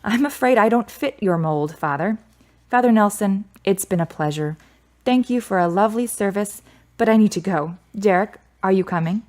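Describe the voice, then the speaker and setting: An actress plays a grieving daughter in a radio drama. Her voice is of medium pitch for a woman. She is guarded and blunt, with a dry, skeptical bite. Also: normal speed, darkish tone, close to an everyday voice. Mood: skeptical, defiant. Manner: wry, blunt.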